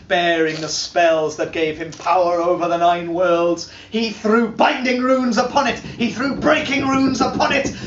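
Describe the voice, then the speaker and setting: A man's voice: high-pitched, noisy background. Man, dramatic reading, declaiming, roomy hall sound, dull distant recording. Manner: slow, declaiming, swells to loud peaks. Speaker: storyteller. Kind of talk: dramatic reading of an old charm. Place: large timber hall, indoors. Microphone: camera filming from across the room.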